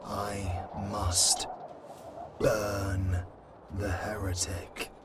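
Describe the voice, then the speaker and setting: A man playing a merciless, zealous angelic destroyer in an audio drama, chanting doom in halting phrases. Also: lowish voice, level tone, slight background noise. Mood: cold zealotry.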